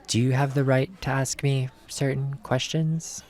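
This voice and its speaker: A man's voice: mid-range voice, flat delivery, unhurried, darkish tone, close to an everyday voice. A man playing a short-tempered, sarcastic bounty hunter and ex-cop, blunt and dismissive, in an acted film script read. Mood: guarded, weary.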